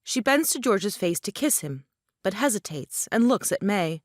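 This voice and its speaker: A woman's voice: medium pitch, big pitch swings, normal speed, no echo, clean studio sound. A woman narrator reading scripted stage directions for a play.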